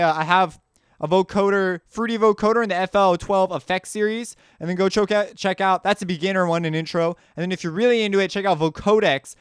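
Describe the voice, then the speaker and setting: A man giving a casual, off-the-cuff software walkthrough as a tutorial host, informal and slangy, rambling as he goes. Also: high-pitched, clear, resonant tone, dry recording, studio quality.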